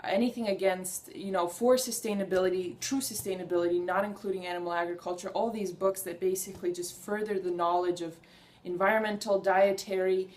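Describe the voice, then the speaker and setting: A young woman speaking to her camera in a relaxed personal vlog. She sounds earnest and enthusiastic, with a mid-range voice, and she rambles a bit, backing up to restart her thoughts as she goes. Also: medium pitch, brisk. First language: Hungarian. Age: young adult. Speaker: vlogger.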